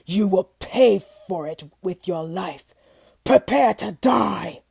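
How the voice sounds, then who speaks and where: A woman voicing a huge clawed male beast in a storybook, growling and roaring with a dry, dark sound. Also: mid-range voice, expressive, slow, vocal fry, slightly rough, no room sound, exaggerated voice. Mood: menacing.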